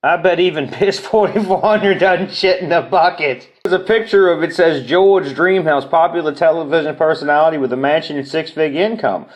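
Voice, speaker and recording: A man with a mocking manner gives an informal dramatic reading of online comments. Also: fairly high pitch.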